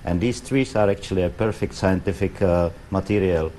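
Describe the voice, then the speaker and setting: A middle-aged man with a Czech accent, a guest in a TV studio interview, speaking halting English with many fillers. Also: low-pitched, dark tone, a little hiss or hum. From Czech Republic. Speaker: pavilion guest. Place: TV studio set.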